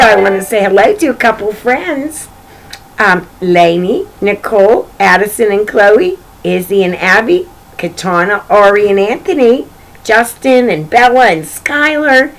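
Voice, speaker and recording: A woman's voice: a little high, rough recording. A woman hosting a read-aloud for children, chatting directly to her young listeners with asides and repeated phrases as she reads a picture book. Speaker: children's story reader and host.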